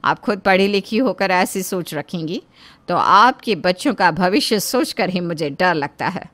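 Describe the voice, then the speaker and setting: A woman's voice: fairly low pitch, animated, unhurried, fairly bright tone. A woman playing a stern, righteous schoolteacher in a cartoon story, lecturing in a formal, reproachful way.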